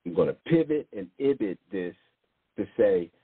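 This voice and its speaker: A man's voice: low voice, animated, normal speed, breathy edge, no room sound. A man preaching informally in a rambling, blunt way, often repeating words and restarting. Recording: tinny phone-like sound, dry.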